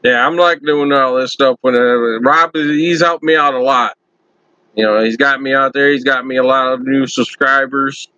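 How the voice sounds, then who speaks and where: A man hosting his own channel, chatting loudly and casually to viewers on a first live stream from his computer. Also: mid-range voice, fairly even intonation, normal speed, no room sound, highs cut off. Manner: loud friendly talker. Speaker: YouTube channel host.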